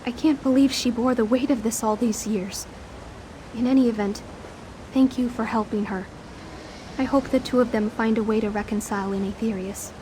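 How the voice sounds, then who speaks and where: A woman's voice: fairly high pitch, fairly even intonation, normal speed, warm tone, slight background noise, natural voice, rough recording. An actress playing a polite, bewildered young daughter grieving her mother in a video game, earnest and courteous. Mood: stunned, reflective. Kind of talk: dramatic video game dialogue.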